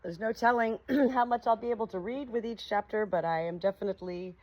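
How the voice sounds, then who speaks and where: A woman reading aloud informally with casual asides, speaking in an unhurried way outdoors on a small farm. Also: mid-range voice, highs cut off. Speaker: audiobook reader and small hobby farm keeper. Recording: noisy outdoor ambience with farm animals. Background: farm animal sounds, ambient noise.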